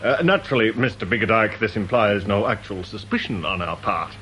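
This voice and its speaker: A man's voice: on the low side, fairly quick, sharp tone. A man playing a blunt, officious British police inspector in a radio detective drama, speaking in formal, long-winded officialese. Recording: faintly noisy old radio drama recording.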